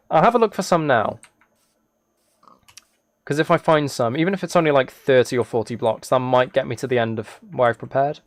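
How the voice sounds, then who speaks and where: A man's voice: medium pitch, moderate pace, pauses often. A man chatting casually on a livestream as he plays a game, rambling and thinking aloud. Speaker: live streamer. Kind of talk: casual livestream chat while gaming, reading chat.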